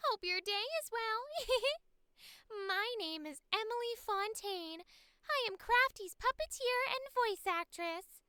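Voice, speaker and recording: A gentle, playful young woman puppeteer, speaks in a soft and extremely high girlish voice. She is kind and giggly, taking her time over each bright phrase, in a very dry, close recording. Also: no echo, exaggerated voice. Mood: bubbly, delighted. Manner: cheerful, giggly. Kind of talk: character voice audition read.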